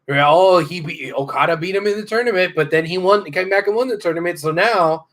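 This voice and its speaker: A man's voice: high voice, a little fast, fairly bright tone. A man with an American accent co-hosting a wrestling podcast, hesitantly thinking aloud in a casual, sweary chat.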